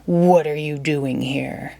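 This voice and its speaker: A woman voicing a dreadful, angry male beast with a gruff, demanding manner in a storybook read-aloud. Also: deep voice, fairly even intonation, slow pace, dark tone, pitched below the performer's own voice, heavily acted.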